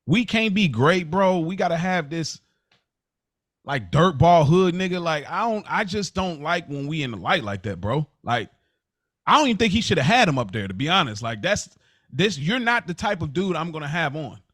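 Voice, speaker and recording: A man's voice: on the high side, big pitch swings, normal speed, fairly bright tone, no room sound, studio quality. A man in casual, opinionated podcast discussion, talking slangily and repeating phrases for emphasis. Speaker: podcast co-host.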